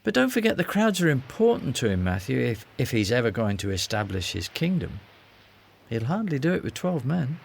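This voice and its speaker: An actor playing an ambitious, teasing, easily exasperated disciple in a Bible radio drama, with a breezy, self-assured, grumbling manner. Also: male voice, medium pitch, big pitch swings, normal speed, creaky, a little hiss or hum. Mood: matter-of-fact.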